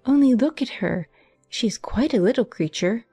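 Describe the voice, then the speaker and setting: Woman as an excitable, breathless young gentlewoman, music behind. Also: on the high side, normal speed, husky. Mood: eager, awed. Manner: breathless, eager, exclaiming. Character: excitable, naive young gentlewoman, easily awed. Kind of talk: dramatized audiobook character acting.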